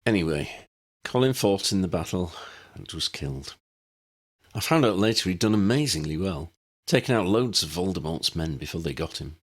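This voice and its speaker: A man voicing an earnest grown man in an audiobook, telling his story in a halting, reflective way. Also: low voice, lively intonation, husky. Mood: sombre, reflective. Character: earnest grown man recalling a lost school friend.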